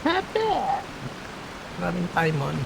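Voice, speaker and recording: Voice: medium pitch, wildly swooping pitch, unhurried, gravelly, noisy background. A game streamer with a Filipino accent making terse, casual muttered asides and echoing bits of dialogue. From Southeast Asia. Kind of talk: casual live stream reactions. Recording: game music behind the voice. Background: video game music.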